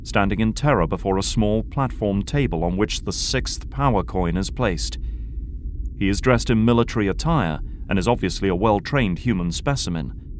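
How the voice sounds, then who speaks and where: A man's voice: lowish voice, slight background noise. A man reading scripted narration for an audio drama.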